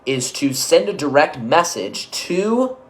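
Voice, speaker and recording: A man giving an instructional business-coaching talk, conversational and energetic, checking in often with quick asides. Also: fairly high pitch. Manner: energetic, conversational, uses filler checks like "okay?" and "right?".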